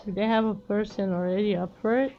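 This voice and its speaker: A woman's voice: mid-range voice, steady tone, fast, dull audio, faint music behind. A woman casually reacting as she plays a video game, in short offhand remarks.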